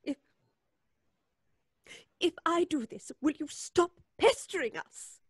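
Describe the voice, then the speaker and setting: An actress playing a distressed, indignant, prim woman, emphatic and high-strung, in old-time radio suspense style. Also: expressive, takes it slowly, no room sound, studio quality. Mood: wary, alarmed.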